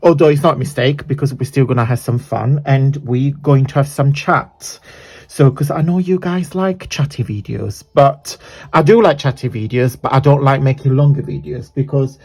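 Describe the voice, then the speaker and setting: A man from southern Italy with an Italian accent and a croaky voice, hosting a chatty, rambling crafting tutorial. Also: medium pitch, moderate pace, a little mellow. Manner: rambling, chatty, self-correcting.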